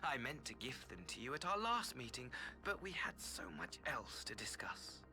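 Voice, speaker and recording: A man's voice: mid-range voice, very animated, normal speed, music in the background, close to an everyday voice. A man playing a smug, scheming imperial ambassador in a video game, with silky, theatrical mock politeness. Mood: false courtesy. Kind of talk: dramatic video game acting.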